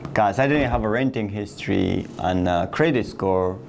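A man's voice: on the low side, moderate pace, vocal fry, background noise. A man in his thirties from Afghanistan, speaking English in a sit-down interview, earnest, with phrasing and restarts. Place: indoor office room.

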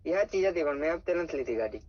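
A man's voice: a little high, lively intonation, fast talker, no echo. A man with a Saurashtra Gujarati accent arguing casually on a phone call, stubborn and blunt. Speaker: prank call target, car owner.

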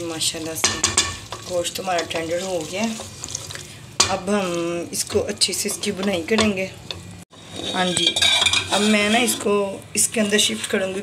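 A woman vlogger walking through a home cooking recipe step by step in casual chat, pointing things out as she goes. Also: on the low side. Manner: chatty, repeats "ye dekhiye" while showing each step. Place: home kitchen. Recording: kitchen sizzle and noise behind.

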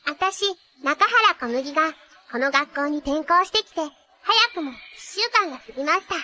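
An extremely high, piercingly bright teenage magical girl from a cartoon. She is bubbly, clumsy and scatterbrained, exclaiming everything with excitable energy. Her pitch leaps about wildly, full of exaggerated anime expression. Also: normal speed, a little hiss or hum, exaggerated voice. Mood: bright, narrating.

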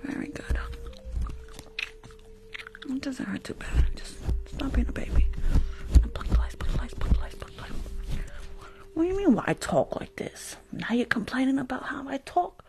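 A woman's voice: sits high, very animated, moderate pace, gravelly, pauses often, low-quality recording. A young woman with a New York accent does an ASMR roleplay as a rude school nurse, speaking close to the microphone in a curt, sassy, dismissive way. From Bronx, New York. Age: young adult. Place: small room at home. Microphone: close desk microphone with fluffy cover.

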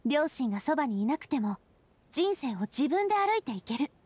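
A woman's voice: sits high, very animated, brisk, a little mellow, no room sound. A woman voicing a confident, straight-talking young female ruler in a Japanese video game, encouraging and casually frank. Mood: earnest, assured. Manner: casual, frank, assured speech.

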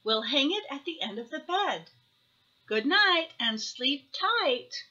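A gentle storybook mother owl, read by a woman, affectionate and soothing. Her voice is high and bright, with lilting motherly phrases. The recording is slightly muffled. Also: big pitch swings, slow, exaggerated voice. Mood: tender.

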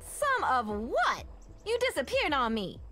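A woman's voice: high voice, wildly swooping pitch, unhurried, bright, forceful tone, faint music behind, full character voice. A woman playing a sassy, confident hippo in a video game, with a wry manner. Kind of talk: video game character acting.

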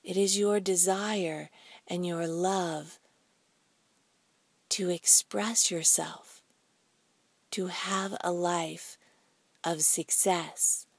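Slow, breathy woman leading guided meditation. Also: lowish voice, fairly even intonation, no room sound. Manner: soothing, deliberate, with pauses between short phrases.

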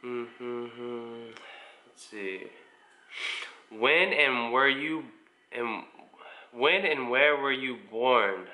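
A man's voice: medium pitch, extremely slow, long pauses, bright, forceful tone, slight background noise. A man of about twenty with an American accent, chatting casually to camera as he answers viewer questions in a laid-back, informal way. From United States, mostly Indiana. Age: around 20. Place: small living room at home, indoors.

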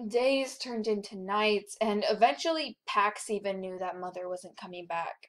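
A woman voicing a grown female wolf narrating her own tale in a measured way for a cartoon audition. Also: medium pitch, steady tone, natural voice. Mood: somber, measured. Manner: measured storytelling.

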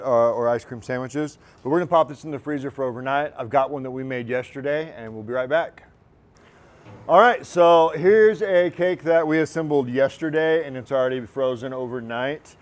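A man, giving a relaxed, encouraging cooking lesson in a large teaching kitchen. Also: mid-range voice, clear, resonant tone. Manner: relaxed, encouraging, talks while working. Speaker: culinary instructor. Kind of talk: cooking demonstration.

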